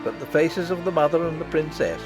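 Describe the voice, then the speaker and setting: A man narrating an audiobook in an expressive, dramatic storytelling style. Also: fairly high pitch, fairly quick. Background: background music.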